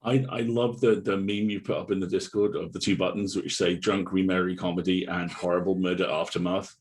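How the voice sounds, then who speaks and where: A man's voice: low voice, little pitch movement, fairly quick. A man chatting casually with colleagues. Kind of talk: casual chat.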